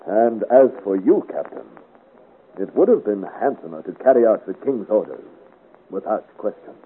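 A man's voice: fairly low pitch, animated, moderate pace, husky. An actor playing a bold, frank young swordsman hero in a 1950s radio adventure serial, speaking in formal, declamatory period style. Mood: firm, admonishing. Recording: noisy, narrow-band vintage radio recording.

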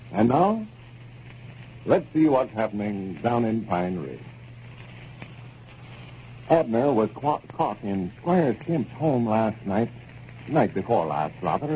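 A man's voice: medium pitch, mellow. An American man announcing a 1930s radio show, reading scripted sponsor copy and story recaps. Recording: dull, noisy 1930s radio recording.

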